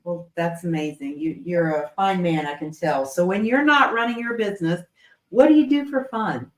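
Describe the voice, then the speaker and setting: A woman hosting a podcast interview, encouraging and affirming her guest. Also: fairly low pitch. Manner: encouraging, affirming, quick to laugh along. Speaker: podcast host. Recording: roomy echo.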